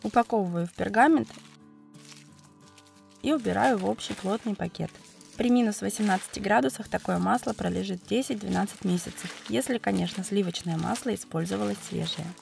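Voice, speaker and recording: A woman with a cold, narrating a cooking video as its host, explaining each step calmly and methodically. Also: medium pitch, fairly quick, gravelly, faint music behind. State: has a cold, voice affected. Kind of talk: calm recipe voice-over narration.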